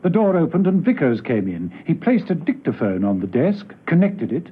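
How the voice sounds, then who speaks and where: A man's voice: fairly high pitch, sing-song, moderate pace, breathy edge. A man narrating a spy radio drama in a dramatic, scripted storytelling style. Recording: tinny, noisy old radio broadcast.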